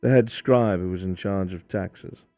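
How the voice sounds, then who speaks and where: A man playing a cynical, blunt imperial official in improvised fantasy roleplay, speaking in a dry, measured way with a gravelly voice and frequent throat-clearing. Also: low voice, dark tone, dry recording, soft background music. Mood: matter-of-fact.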